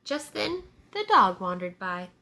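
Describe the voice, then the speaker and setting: A woman teacher reading a picture book aloud to young children, deliberate and child-directed, pausing to explain words and ask simple questions. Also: mid-range voice, big pitch swings, vocal fry, bright, forceful tone.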